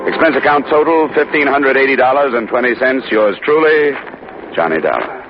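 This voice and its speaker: An actor playing a tough, world-weary American insurance investigator in 1950s radio detective style. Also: male voice, medium pitch, a little fast, noisy background, natural voice. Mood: wry, sober. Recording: dull old radio recording, music behind.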